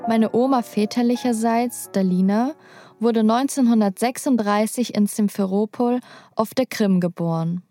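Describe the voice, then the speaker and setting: A young adult woman, a journalist, narrating a personal documentary in a calm, measured, scripted way. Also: a little high, soft background music.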